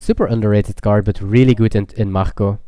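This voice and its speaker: A man casually commentating a card game tournament stream, explaining plays in relaxed gamer slang. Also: lowish voice, brisk, clear, resonant tone, no room sound, studio quality.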